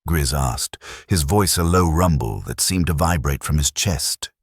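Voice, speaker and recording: Very deep dramatic male narrator. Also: a little slow, husky, no echo, clean studio sound.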